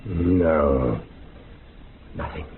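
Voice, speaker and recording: An actor playing a courteous, sceptical investigator with a formal, precise manner in a radio drama. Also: male voice, rumbling low, drawn-out words, full character voice. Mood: brisk, dismissive. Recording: tinny narrow-band radio sound, faint noise.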